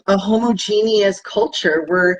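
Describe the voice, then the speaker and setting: A woman's voice: mid-range voice, a little slow, poor audio. A woman guest speaking in an interview, earnest and heartfelt, bilingual with Tagalog. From United States. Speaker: guest, herbalist business founder. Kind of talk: interview conversation.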